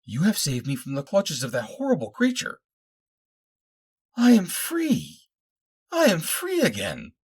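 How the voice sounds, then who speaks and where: A man's voice: fairly low pitch, sing-song, normal speed, slightly rough, voice pushed up. A man voicing a devoted, emotional young woman freed from captivity, speaking fervently in formal, old-fashioned phrases for an adventure story. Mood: hysterical joy.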